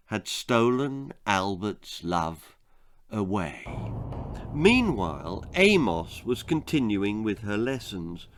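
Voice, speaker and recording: A man reading a scripted children's horror-comedy story as its author, in a dramatic, expressive manner. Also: medium pitch. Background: faint music.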